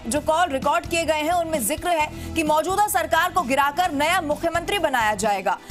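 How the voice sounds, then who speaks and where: An Indian woman anchoring a television news bulletin, reading reports and putting questions to a correspondent. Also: high voice. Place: TV news studio. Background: music.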